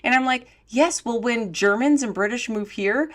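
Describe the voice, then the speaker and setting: A woman's voice: on the high side, moderate pace, fairly bright tone. A woman co-hosting a podcast, chatting casually and telling stories with plenty of filler words and laughter. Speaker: podcast co-host.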